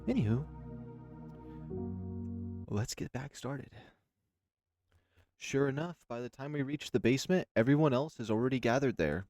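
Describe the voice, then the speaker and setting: A man's voice: mid-range voice, lively intonation, moderate pace, pauses often, a little mellow, dry recording, clean studio sound, soft background music. A man hosting a visual novel read-along, talking casually and rambling, often cutting into his own thoughts. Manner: rambling, self-interrupting. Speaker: host reading a visual novel aloud. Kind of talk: casual gameplay commentary and narration.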